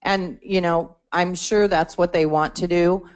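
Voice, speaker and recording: A woman's voice: lowish voice, steady tone, unhurried. A woman presenting to a membership meeting, explaining a support portal conversationally, with fillers and restarts. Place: meeting room.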